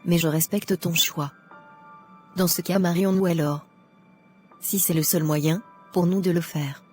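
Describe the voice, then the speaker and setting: A woman's voice: on the low side, steady tone, measured pace, darkish tone, natural voice. Woman as insistent young girlfriend, faint music. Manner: insistent, coaxing. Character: pushy young woman in love, impatient girlfriend.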